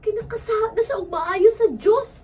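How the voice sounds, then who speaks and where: A woman's voice: very high voice, normal speed, mellow, distant mic. A woman playing a concerned best friend in a Cebuano radio drama, earnestly reasoning and admonishing in a firm, pleading way. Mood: firm, persuading.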